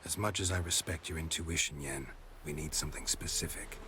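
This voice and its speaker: An actor playing a stoic, dry-witted monster hunter in a video game, with a gravelly voice, an American accent and terse, deadpan delivery. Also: male voice, extremely low pitch, fairly quick, background noise. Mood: matter-of-fact. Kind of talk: video game cutscene acting.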